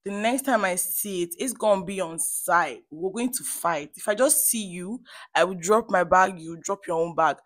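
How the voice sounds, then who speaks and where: Female beauty vlogger, Nigerian Igbo accent, low pitch, dry close sound; chatty, playful, emphatic tutorial delivery. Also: moderate pace, a bit bright, dry recording. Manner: playful, emphatic, addresses viewers directly. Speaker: hair stylist and beauty vlogger. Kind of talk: chatty tutorial voice-over to viewers.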